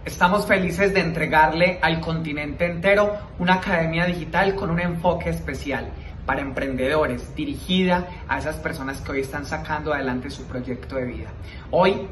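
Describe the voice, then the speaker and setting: A man giving an upbeat, emphatic promotional pitch outdoors on a balcony. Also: a bit bright, reverberant, distant mic, a little hiss or hum. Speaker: entrepreneur. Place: balcony with city view.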